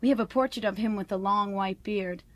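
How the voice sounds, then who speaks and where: A woman's voice: medium pitch, fairly quick, natural voice. A woman in a radio drama playing a polite young wife new to the family, chatty and earnest. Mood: earnest, candid.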